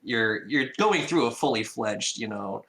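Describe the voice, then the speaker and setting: Bright, forceful low-voiced man, emphatic. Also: animated, measured pace, a little hiss or hum, poor audio. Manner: emphatic, repeats phrases for emphasis. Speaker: indie game developer, interview guest. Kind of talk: casual pitch in a podcast interview.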